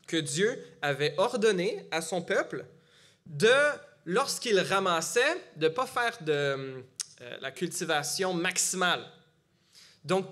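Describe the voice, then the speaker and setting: Middle-aged man, Quebec French accent, preaching in church, chatty delivery, slightly high pitch. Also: a bit bright. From Gatineau, Quebec. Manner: conversational, with frequent fillers and restarts. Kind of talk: sermon.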